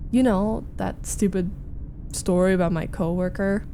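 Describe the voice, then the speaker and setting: A woman voicing a flustered, needy young roommate in a chatty, halting, defensive roleplay audio, confiding as she vents. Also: mid-range voice, warm tone, a little hiss or hum, lightly acted. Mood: fond, wistful. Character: flustered, needy young female roommate venting about a date. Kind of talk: roleplay ASMR audio acting.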